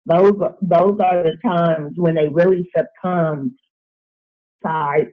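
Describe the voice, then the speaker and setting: A woman's voice: fairly low pitch, fairly even intonation, slow. A woman talking as a teacher in a discussion, making her points emphatically and repeating phrases. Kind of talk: opinionated discussion talk. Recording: tinny phone-like line.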